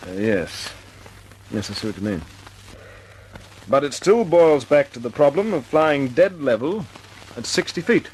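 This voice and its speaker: An actor playing an earnest young bomber squadron commander in an old radio drama, clipped and direct. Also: male voice, mid-range voice, lively intonation, measured pace, pauses often, a little mellow, slight background noise, natural voice, poor audio. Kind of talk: 1940s radio acting.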